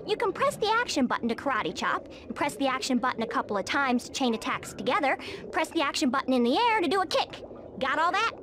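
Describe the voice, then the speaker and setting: High, brash Texan cartoon squirrel gal. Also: female voice, sing-song, fast talker, music in the background. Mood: competitive, confident. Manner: folksy, brash, twangy drawl. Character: feisty, sporty Texan cartoon squirrel woman. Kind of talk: animated video game voice acting.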